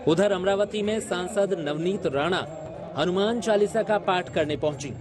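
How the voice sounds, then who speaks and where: A man's voice: high voice, moderate pace. A man reading a scripted television news report as its narrator. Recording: music under the voice.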